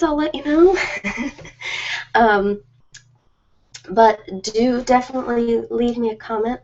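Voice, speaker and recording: A middle-aged woman hosting a casual live chat with her viewers, informal and rambling with hesitant pauses. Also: fairly high pitch, a little mellow, dull audio. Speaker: ASMR host.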